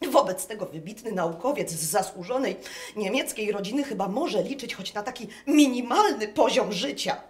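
An actress playing a distraught, scornful wife in a radio drama, speaking with theatrical emotion. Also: female voice, medium pitch, lively intonation, moderate pace, lightly acted. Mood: bitter, subdued. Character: distraught, scornful German wife pleading with her scientist husband. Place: live performance inside a wooden barn. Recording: echoey barn stage recording.

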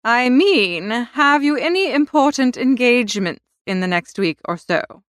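Imperious scolding aunt, swooping pitch woman acting. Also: big pitch swings, measured pace, a bit bright, dry recording, clean studio sound. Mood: scornful, commanding. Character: Aunt Agatha, bossy, formidable, critical upper-class older aunt.

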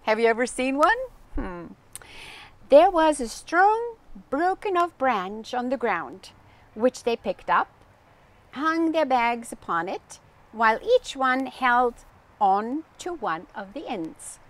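An older woman reading a children's story aloud as a storytime host, in a gentle, expressive manner that addresses young listeners. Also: on the high side, takes it slowly. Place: outdoors on a garden bench.

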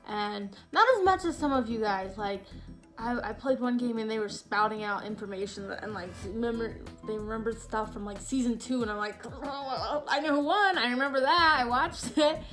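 Bubbly woman in her thirties, webcam intro, music softly underneath. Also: on the high side, normal speed. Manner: bubbly, rambling. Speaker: contestant. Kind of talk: casual self-introduction. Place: bedroom at home.

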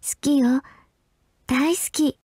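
An actress playing an affectionate, teasing, big-sisterly high school girl in an anime-style game. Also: steady tone, slow pace. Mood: lovestruck. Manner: sweet, teasing, coaxing. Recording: loud game music behind the voice.

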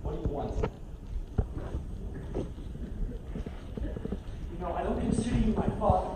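High-pitched young man acting, echoey distant stage. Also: racing, close to an everyday voice. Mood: angry. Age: young adult. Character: troubled, resentful young man narrating his youth. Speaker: stage actor. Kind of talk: amateur stage drama acting. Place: large theater stage, indoors. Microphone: far audience camera. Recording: noisy, echoey theater recording from far off.

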